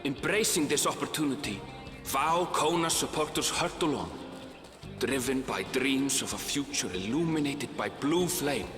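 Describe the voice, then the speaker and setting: Casting: male actor as reflective game traveller, measured and lyrical yet expressively pitched, reverberant over clear music. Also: expressive, lightly acted. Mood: reflective, solemn. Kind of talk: scripted game narration and dialogue. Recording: long reverb, music behind.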